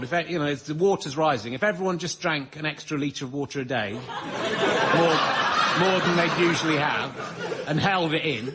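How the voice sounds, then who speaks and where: A man's voice: a little high, very noisy. A man rambling comically as a panel show guest, hesitant and self-mocking, restarting his sentences mid-thought.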